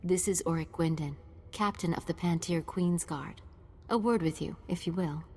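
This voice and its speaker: A woman's voice: fairly low pitch, moderate pace, warm tone, slightly rough. A woman playing a gracious, formal noble ambassador in a video game, speaking with diplomatic courtesy. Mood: courteous, earnest. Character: gracious, dignified female ambassador of a noble house. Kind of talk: video game cutscene acting. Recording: long hall reverb.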